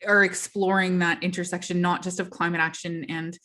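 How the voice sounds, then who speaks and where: A woman's voice: lowish voice, little pitch movement, brisk, pushed and bright. A woman hosting a podcast in a casual interview, asking long, rambling questions full of asides. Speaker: podcast host.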